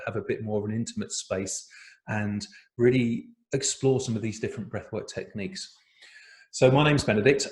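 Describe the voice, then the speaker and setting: A man in his forties with an English accent, chatting gently as he leads an online breathwork workshop. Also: fairly low pitch, reverberant. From England. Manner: chatty, gentle, with many fillers. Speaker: breathwork facilitator. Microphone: video call.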